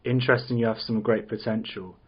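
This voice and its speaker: Guy playing a cold sinister scientist boss, pretty monotone, controlling, muffled lo-fi table read recording. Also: lowish voice, fairly quick, breathy edge. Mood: intrigued, commanding. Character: sinister, condescending male villain scientist running cruel tests. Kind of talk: amateur script table read. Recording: dull lo-fi recording.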